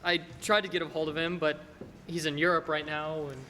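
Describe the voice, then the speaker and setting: A harried, stammering father from a radio play, acted by a young man, with a high, bright voice. He is hesitant and easily worked up. It sounds recorded in a reverberant hall. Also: little pitch movement, fairly quick. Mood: apologetic, nervous. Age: young adult. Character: frantic, stammering family man at breaking point. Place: stage in a school theater. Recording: reverberant hall with background noise.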